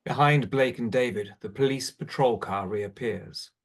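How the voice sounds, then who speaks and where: Male narrator, medium pitch, scripted narration, stage directions. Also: unhurried.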